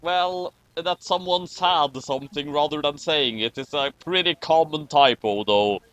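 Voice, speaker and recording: A man's voice: on the high side, unhurried, a bit bright, no echo. A man from Norway doing casual, joking commentary on a fan fiction readthrough over an online voice call. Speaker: commentator reading aloud.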